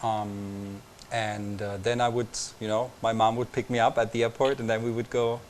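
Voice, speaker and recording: A young man from Austria with an Austrian accent, a native German speaker, chatting as a guest in halting English full of fillers. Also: fairly low pitch, flat delivery, a little hiss or hum. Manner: halting, searching for words, many fillers. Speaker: visiting reporter, guest. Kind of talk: casual interview. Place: tv station room.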